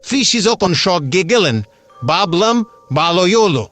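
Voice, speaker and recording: A man's voice: high-pitched, expressive, a little slow, a bit bright, voice pushed up. A man voicing a chatty, pushy middle-aged house seller who grumbles and wheedles in colloquial patter in a dramatized horror story. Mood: grumbling, complaining. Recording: music behind the voice.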